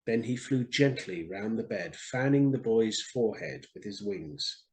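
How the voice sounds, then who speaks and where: A man's voice: medium pitch, sing-song, a little slow, vocal fry. A man reading a story expressively for children, in a theatrical and friendly storyteller's manner.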